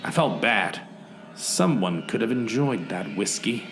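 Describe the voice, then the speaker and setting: A man's voice: lowish voice, lively intonation, unhurried, a bit bright. A man playing a hard-boiled hero who narrates a noir parody audio drama, mock-serious, wry and dramatic. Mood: urgent narrating. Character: hard-boiled male detective hero, earnestly melodramatic. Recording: roomy echo, music underneath.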